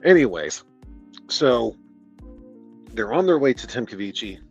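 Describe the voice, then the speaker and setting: A man's voice: mid-range voice, sing-song, unhurried, vocal fry, breathy edge, lo-fi sound, faint music behind. A man from the Detroit area with a Midwestern American accent, casually and sarcastically reviewing a book on a livestream, swearing and laughing as he goes. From Detroit area, United States. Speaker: streamer reviewing a novel.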